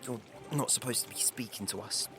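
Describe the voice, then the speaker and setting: A man's voice: medium pitch, a little fast, music in the background, lightly acted. A man playing a cheeky but guarded young servant boy in an audio drama.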